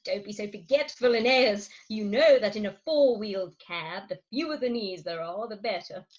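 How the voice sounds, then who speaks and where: A woman playing an animated, commanding wife. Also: mid-range voice, normal speed, dull audio, natural voice. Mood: firm, teasing. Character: brisk, commanding middle-aged Victorian wife and mother. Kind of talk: theatrical staged reading of Victorian farce. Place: home, recorded remotely.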